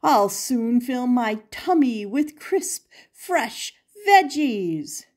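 A woman's voice: a little high, animated, extremely slow, a little mellow, heavily acted. An older woman voicing a stubborn, grumpy male gardener from a storybook, declaring his lines emphatically. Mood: eager.

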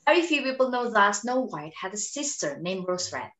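A woman's voice: mid-range voice, big pitch swings, normal speed, bright, forceful tone. A young woman playing a vain, arrogant actress in an amateur dramatic reading, with a haughty, sarcastic manner. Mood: smug. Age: young adult. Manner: haughty, sarcastic, self-important. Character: arrogant, vain young female film star. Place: small room at home, indoors. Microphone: video call. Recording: roomy video-call sound.

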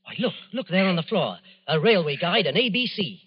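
An actor playing a breezy, sly upper-class gentleman with a husky voice in a 1940s radio drama. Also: sits high, very animated, a little fast, full character voice. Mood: grave. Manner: jovial, patronizing. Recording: muffled vintage 1940s radio recording.

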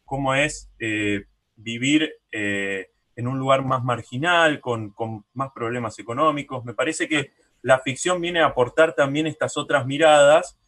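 A man hosts a radio interview in a medium-pitched voice. He talks conversationally, feeling his way through each thought in short, hesitant pieces. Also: a little slow, fairly bright tone.

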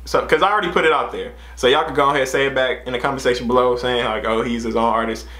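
A man's voice: mid-range voice, very fast, pushed and bright, reverberant. A man in his twenties with an American accent chatting casually as a reaction host, slangy and often repeating his phrases. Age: 20s. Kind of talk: casual music reaction chat. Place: small room indoors.

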